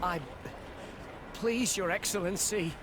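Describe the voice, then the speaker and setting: A nervous, high-pitched customs officer. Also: male voice, slow pace. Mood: flustered, defensive. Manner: hesitant, stammering, deferential. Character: evasive, nervous, beleaguered male customs officer. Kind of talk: video game cutscene acting. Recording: noisy outdoor ambience behind the voice.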